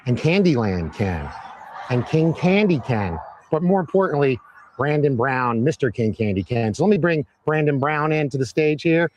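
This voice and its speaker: A hoarse man hosting a live-stream interview outdoors, upbeat and fond of repeating phrases for emphasis. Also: medium pitch, expressive, normal speed, a little mellow, slight background noise. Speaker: host. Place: outdoors at a Christmas light display by a road.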